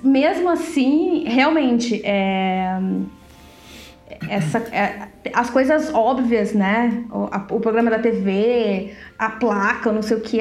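Brazilian woman co-host, slightly high, chatty, laughs a lot, echoey room with faint music. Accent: Brazilian Portuguese. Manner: chatty, laughs often, stretches words. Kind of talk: casual conversational chat.

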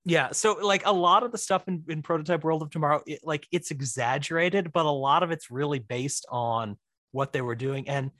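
A man's voice: fairly high pitch, normal speed, fairly bright tone, no room sound, studio quality. A man talking casually as a guest in a podcast interview, explaining things chattily with plenty of asides. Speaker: interview guest, writer-director.

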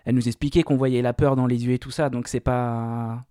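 A man's voice: medium pitch, steady tone, a little fast, mellow, smooth, no echo, clean studio sound. A man co-hosting an interview, asking loose, informal questions in a casual chat. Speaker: co-host interviewer.

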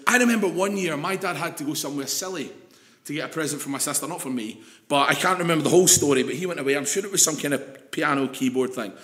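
A Scottish man preaching an evening sermon with a slightly croaky voice, chatty and putting questions to the congregation. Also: a little high, fairly bright tone, echoey room. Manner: conversational, asks the congregation questions.

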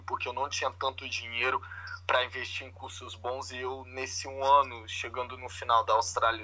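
A man's voice: medium pitch, sharp tone, breathy edge, rough recording. A Brazilian man chatting casually and informally in Brazilian Portuguese, telling anecdotes with plenty of slang, as a podcast host. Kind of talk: casual conversational chat.

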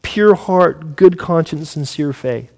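A man in his forties delivers a sermon in a fairly high voice for a male speaker. He sounds like an earnest pastor who talks conversationally and often puts questions straight to his listeners. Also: takes it slowly, a little mellow, low-quality recording. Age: 40s.

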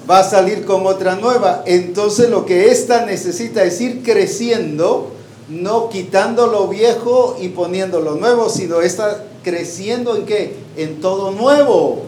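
A man with a high voice preaches a sermon, putting questions to his listeners and pausing for their replies. His voice rings through an echoey hall, and a faint hiss sits underneath. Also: a little mellow. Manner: asks the congregation questions and waits for answers. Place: church hall with a congregation.

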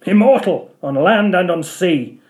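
A man's voice: high-pitched, lively intonation, measured pace, darkish tone, voice pushed up. A man reading a storybook part as a proud, scornful old whaling captain with archaic, declamatory speech. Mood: defiant exultation.

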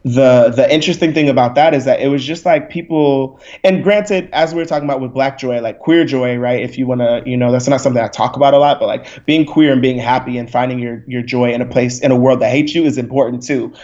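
A man's voice: medium pitch, fairly even intonation, quick pace, few pauses, mellow. A young man speaking as a podcast guest, passionate, blunt and profane, often saying "like" and "right?". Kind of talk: candid podcast conversation. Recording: slightly muffled recording.

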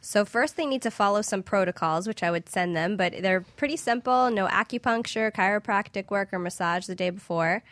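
Woman, bright clear-cut tone, close dry sound, informal explainer, radio guest practitioner. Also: medium pitch, brisk, few pauses, no room sound. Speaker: guest infrared scanning practitioner. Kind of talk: conversational radio interview, explaining.

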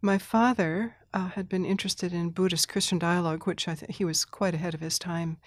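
A middle-aged woman, an interview guest who grew up in Thailand, speaking thoughtfully with restarts, soft laughs and throat clearing. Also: lowish voice, steady tone, fairly quick, no echo, clean studio sound. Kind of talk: reflective conversational interview.

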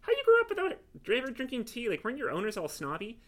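A man voicing a tired young woman who cares for her cat, talking in halting, casual slang. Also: extremely high pitch, wildly swooping pitch, fairly bright tone, voice pushed up, full character voice. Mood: teasing.

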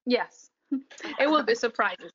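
A woman's voice: fairly high pitch, lively intonation, unhurried, fairly bright tone, slightly rough, lo-fi sound. A woman with a Romanian accent chatting casually as an interview guest, searching for words as she goes. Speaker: podcast guest, tour operator.

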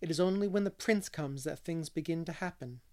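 A man's voice: fairly high pitch, fairly quick, darkish tone, natural voice. A man reading as an earnest, kindly young woman from a storybook, romantic and dreamy yet firm, with literary phrasing.

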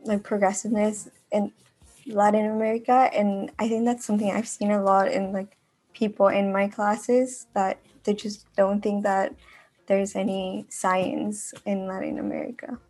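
A young woman from Mexico speaks in a casual panel style. Her delivery is halting and peppered with filler words, at a middling pitch for a woman. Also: little pitch movement, a little mellow. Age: young adult. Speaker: university student panelist. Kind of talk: casual podcast discussion.

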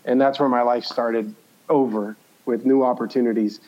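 A man's voice: medium pitch, steady tone, normal speed, darkish tone. Slightly muffled man telling his story. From born in Cuba, grew up in the United States. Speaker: podcast guest, entrepreneur. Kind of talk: conversational personal storytelling. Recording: slightly dull, lo-fi sound.

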